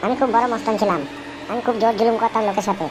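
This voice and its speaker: A woman voicing a bossy, scolding ghost of a former thug in a comic cartoon, with gruff, coarse slang. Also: fairly high pitch, fairly even intonation, rapid-fire, background noise, natural voice. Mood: grim.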